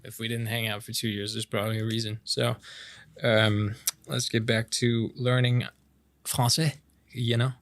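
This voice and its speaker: Male actor as boyish best-friend love interest for romantic ASMR roleplay; French Canadian accent, medium pitch, casual, playful, halting delivery. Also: level tone, lightly acted. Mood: awkward, apologetic. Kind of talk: intimate romantic ASMR roleplay, improvised-sounding casual chat.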